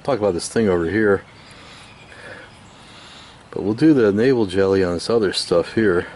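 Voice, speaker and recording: A man giving a casual hands-on restoration demonstration, musing aloud in an unhurried, hesitant way as he works. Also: on the low side, a little mellow, a little hiss or hum. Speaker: radio restoration hobbyist.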